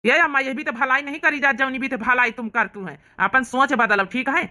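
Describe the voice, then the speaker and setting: A woman acts an outspoken village man in a cartoon comedy. The voice is fairly high and forceful, with an Awadhi accent. He argues and reproaches, protective of his sister. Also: brisk. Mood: reproachful. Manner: argumentative, reproachful. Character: outspoken, protective grown son and elder brother, village man.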